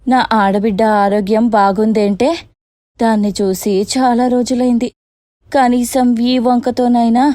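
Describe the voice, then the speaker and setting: A woman's voice: on the high side, dark tone, dry recording, studio quality, lightly acted. A woman voicing a blunt but caring older female relative in a cartoon moral story, grumbling in colloquial speech. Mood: concerned.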